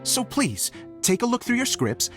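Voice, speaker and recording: An actor playing an eager, theatrical, self-styled stage director in a video game, effusive and chatty. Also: male voice, very high voice, huge pitch swings, moderate pace, fairly bright tone, soft background music, heavily acted. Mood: warm, earnest. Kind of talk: video game cutscene acting.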